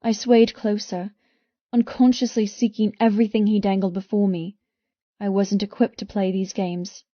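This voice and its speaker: A woman's voice: mid-range voice, level tone, moderate pace, dry recording, lo-fi sound. Dry, intense woman narrating romance. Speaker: audiobook narrator. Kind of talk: first-person dramatic romance narration.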